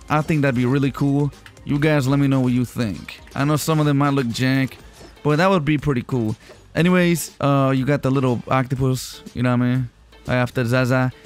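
A young man hosting a gaming video, giving casual gameplay commentary in a chatty, slangy, informal way. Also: a little high. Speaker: gaming video host and streamer.

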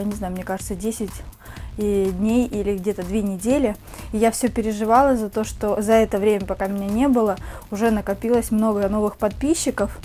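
A young woman with a slight cold, chatting casually to camera as a beauty vlogger in a small room on a lapel mic, with lots of fillers and soft diminutives. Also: medium pitch.

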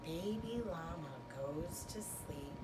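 A woman in her thirties, a teacher reading a children's storybook aloud to pupils with expressive, sing-song phrasing and emphasized words. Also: on the low side, slow pace, heavy background noise. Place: bedroom, indoors. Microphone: camera a couple of metres away.

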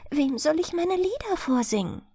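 A woman voicing a melancholy, bargaining female water spirit in a storybook, slow and wistful. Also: very high-pitched, sing-song, dark tone, dry recording, clean studio sound, pitched above the performer's own voice, full character voice. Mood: sorrowful.

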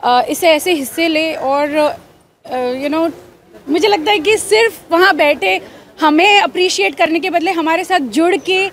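A woman's voice: extremely high pitch, measured pace, fairly bright tone, a little hiss or hum. A woman in her thirties with an Indian accent, speaking in an outdoor interview as a charity representative, mixing two languages. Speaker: NGO representative being interviewed. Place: outdoors at a street event.

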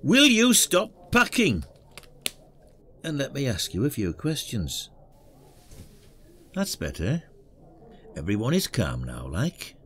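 A man's voice: mid-range voice, huge pitch swings, slow, pauses often, fairly bright tone, a little hiss or hum, full character voice. A British man acting a weary, self-doubting retired detective in an audio drama. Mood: firm, exasperated. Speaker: actor in a podcast drama.

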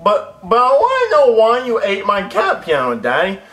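A man's voice: sits high, huge pitch swings, normal speed, a bit bright, heavily acted. A man voicing a whiny, stubborn little boy in diapers who keeps calling for his daddy. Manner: whining, stubborn, lying, repeats "Daddy".

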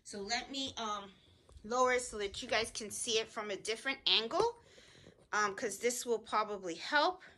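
A woman's voice: a little high, moderate pace, bright, forceful tone, reverberant, a little hiss or hum. A middle-aged woman hosting a casual embroidery tutorial from her home sewing room, chatting and thinking aloud as she works. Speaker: sewing channel host.

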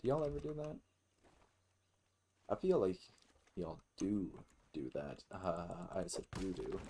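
A man's voice: low-pitched, dark tone, a little hiss or hum. A man giving casual let's play commentary as a gaming host, musing aloud in a rambling way and swearing freely.

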